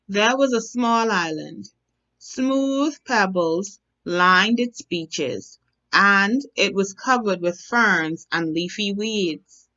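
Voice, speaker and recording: A woman narrating a children's bedtime story as a storyteller, deliberate and expressive, on a slightly dull recording. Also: medium pitch. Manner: measured, deliberate, expressive reading. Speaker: storyteller, teacher.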